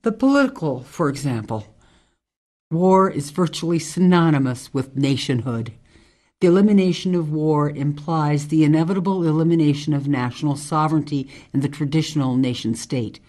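A woman playing a tough ex-military forest ranger in a radio drama, speaking deliberately and earnestly. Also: deep voice, animated, warm tone. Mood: solemn, earnest. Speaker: actor in an amateur radio drama.

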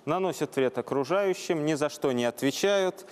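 A man's voice: fairly high pitch, lively intonation, moderate pace, smooth. A man in his thirties presenting as co-host of a studio talk show.

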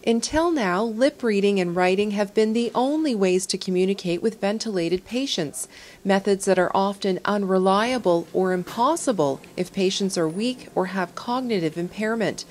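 A woman's voice: medium pitch, normal speed, a little mellow. A woman narrating a news report from a script.

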